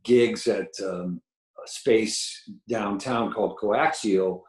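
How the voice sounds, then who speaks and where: An older man with a low baritone voice, chatting as a guest musician over a video call, rambling and enthusiastic with many fillers. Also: takes it slowly, a little mellow, echoey room. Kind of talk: casual interview chat. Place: home room with painted mural, video call. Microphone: video call webcam.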